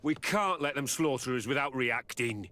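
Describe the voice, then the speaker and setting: A man's voice: mid-range voice, expressive, normal speed, bright, forceful tone. A man playing a curt, callous colonial captain in a video game, matter-of-fact and scornful. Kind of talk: video game cutscene acting.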